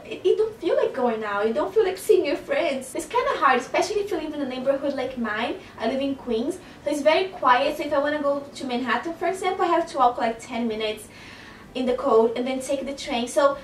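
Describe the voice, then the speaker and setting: A woman's voice: sits high, lively intonation, brisk, a little hiss or hum. A young woman from Brazil with a Brazilian Portuguese accent, chatting to camera in a casual vlog and laughing often. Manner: chatty, laughs often, stresses words by repeating them. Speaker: vlogger. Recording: roomy, slightly distant camera audio.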